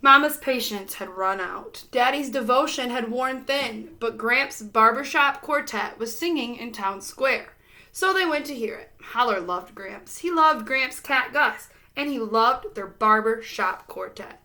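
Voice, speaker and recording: Female storybook reader, high-pitched and very bright, expressive narration for young children. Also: measured pace.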